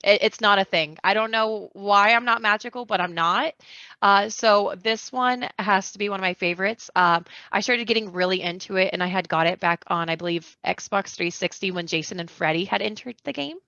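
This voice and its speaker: A woman's voice: mid-range voice, normal speed, pushed and bright, no echo. A woman guest chatting casually on a podcast, informal, slangy and self-deprecating. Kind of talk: casual pop-culture podcast chat. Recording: dull, lo-fi, dry microphone sound.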